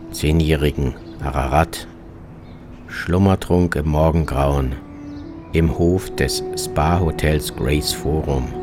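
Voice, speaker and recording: A man reading a scripted literary radio travelogue as its narrator, in terse, deliberate fragments. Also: rumbling low. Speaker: author narrating his own journey. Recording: music bed under the voice. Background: music.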